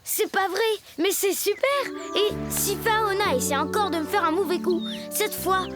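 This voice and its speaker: Cocky little jungle boy, really high voice, unhurried, music blaring behind. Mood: proud. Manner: bright, cocky, exclamatory. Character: plucky, boastful, excitable young jungle boy. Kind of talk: French cartoon dub acting. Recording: loud background music.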